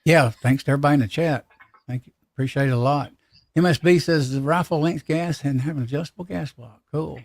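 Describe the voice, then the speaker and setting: A man hosting a casual livestream chat, talking in a rambling, halting, folksy way. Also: fairly high pitch, a little mellow, no echo, studio quality. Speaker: livestream host.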